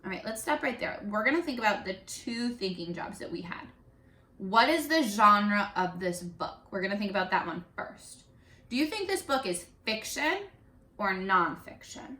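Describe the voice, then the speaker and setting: A woman teacher leading an interactive read-aloud lesson for young children, animated and encouraging, often pausing to ask questions. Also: mid-range voice. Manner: animated, encouraging, asks questions and pauses for answers. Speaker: primary school teacher. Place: living room at home. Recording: roomy home echo.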